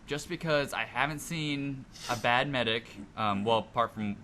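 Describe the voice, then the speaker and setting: Bright-voiced man talking games. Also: mid-range voice, moderate pace. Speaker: podcast co-host. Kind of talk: casual gaming discussion. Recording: noisy amateur podcast recording.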